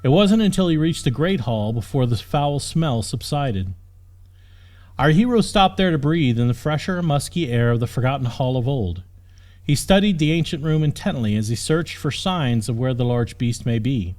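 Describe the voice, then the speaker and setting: A man's voice: mid-range voice, lively intonation, moderate pace, a little mellow. A man reading a fantasy story as an audiobook narrator, with dramatic scripted storytelling. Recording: music under the voice. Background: background music.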